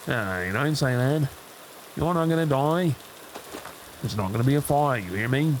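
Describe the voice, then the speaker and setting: Man playing an injured Australian rescuer, breathless, protective, unhurried phrasing, audio drama, rain and vehicle noise behind. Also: medium pitch, animated, natural voice, poor audio. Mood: reassuring, tense. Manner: urgent, reassuring, breathless. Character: injured, protective Australian crash survivor rescuing a trapped driver. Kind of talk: dramatic audio roleplay acting.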